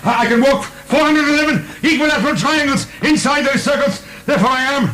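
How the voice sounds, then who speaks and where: An actor playing a proud, defiant, mocking French marquis in prison, declamatory and sardonic, in a radio drama. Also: male voice, very high voice, a little fast, sharp tone. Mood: defiant, anguished.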